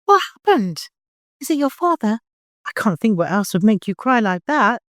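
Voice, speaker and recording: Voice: mid-range voice, very animated, normal speed, fairly bright tone, dry recording, clean studio sound, pitched above the performer's own voice. A narrator voicing an older woman, a devoted nurse who scolds and frets in urgent exclamations. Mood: anxious questioning.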